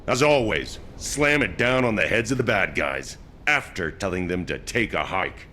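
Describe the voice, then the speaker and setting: Deep, blunt squad leader. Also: male voice, lively intonation, moderate pace, pushed and bright, a little hiss or hum. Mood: practical. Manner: blunt and practical. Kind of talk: game cutscene voice acting.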